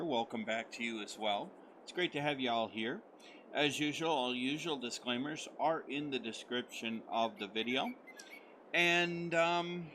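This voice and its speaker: A middle-aged man from the United States with a hoarse voice still recovering, talking at an average pitch. He rambles in a friendly, unhurried way, full of fillers and restarts, as he plays through a game. Faint noise and game engine sounds sit behind him. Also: bright, forceful tone, poor audio. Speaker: gaming channel host. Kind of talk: casual let's-play commentary.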